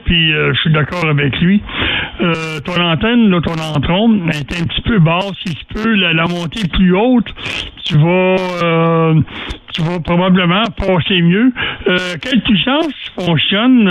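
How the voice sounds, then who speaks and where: A man's voice: high voice, measured pace, noisy background. A man with a Quebec French accent chatting casually as a radio operator, rambling in a folksy way and repeating himself. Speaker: amateur radio operator leading a group contact.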